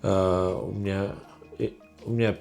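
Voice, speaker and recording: A middle-aged man from Estonia with an Estonian accent, talking casually in a question-and-answer vlog, halting with many fillers and restarts. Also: low voice, flat delivery, vocal fry, a little mellow, faint music behind. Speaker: channel host.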